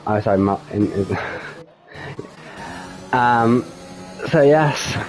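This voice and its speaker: Croaky man commentating a sim race. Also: mid-range voice, takes it slowly, soft background music, rough recording. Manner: hesitant, frequent ums and self-corrections. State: slightly croaky from a cold. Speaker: sim racing league commentator. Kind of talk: solo live race commentary.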